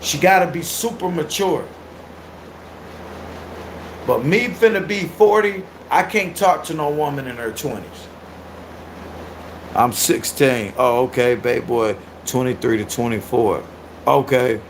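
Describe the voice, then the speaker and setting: A man in his thirties hosting a casual livestream and reacting to viewer comments. He is loud, animated and crude, and laughs often. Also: mid-range voice, unhurried, pauses often, slight background noise, rough recording. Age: 30s. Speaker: livestream host.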